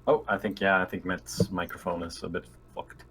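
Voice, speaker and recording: Man, fairly low pitch, game player, casual sweary banter, clear background noise and clicks. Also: fairly even intonation, normal speed. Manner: sweary, informal. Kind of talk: casual joking chat. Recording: noisy voice chat with clicks.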